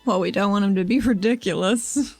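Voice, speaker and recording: A woman's voice: mid-range voice, brisk, no echo, soft background music, close to an everyday voice. A woman playing a brash, casual, wry young female fighter in a tabletop roleplaying game. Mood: wry, persuasive.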